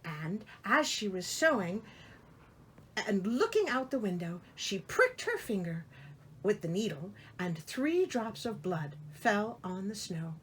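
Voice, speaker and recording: A woman's voice: medium pitch, sing-song, slow pace, a bit bright, slight background noise, low-quality recording. A woman reading a fairy tale aloud expressively, with theatrical, incredulous and wry asides. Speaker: storyteller and host.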